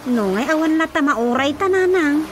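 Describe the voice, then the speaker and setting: An anxious, very high-voiced daughter. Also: female voice, animated, normal speed. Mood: worried. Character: anxious young daughter fretting to her mother. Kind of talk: radio drama acting. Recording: music bed under voice. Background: music.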